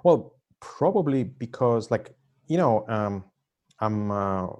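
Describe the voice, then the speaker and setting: A man's voice: on the low side, expressive, takes it slowly, a little mellow, lo-fi sound. Hesitant Russian-accented man in his forties. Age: 40s. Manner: hesitant, frequent fillers, laughs often. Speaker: interview guest. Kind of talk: casual conversation.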